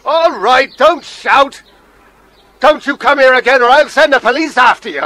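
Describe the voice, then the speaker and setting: An actor playing a bad-tempered, deaf old caretaker in an audio drama, shouting threats. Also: male voice, very high-pitched, normal speed. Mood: angry, threatening. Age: older.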